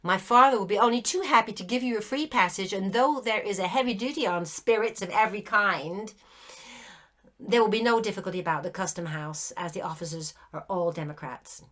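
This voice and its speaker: Prim little girl telling someone off, loud and brisk, lady reader doing her. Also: medium pitch, natural voice. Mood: scolding. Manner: prim and scolding. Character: earnest, indignant young girl.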